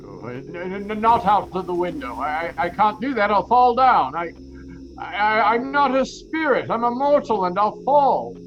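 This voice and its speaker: An actor playing a grumpy, frightened old miser in a radio drama, stammering and exclaiming. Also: male voice, sits high, animated, moderate pace. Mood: panicked. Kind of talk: theatrical radio drama acting. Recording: dull lo-fi sound with noise.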